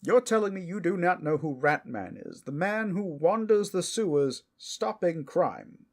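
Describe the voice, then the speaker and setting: A man acts out a famous, blundering but well-meaning superhero in a comedic audio drama. His voice is fairly high and husky, with very animated pitch, moving between heroic bluster and earnest, chatty rambling. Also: measured pace, full character voice. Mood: breezy, concerned.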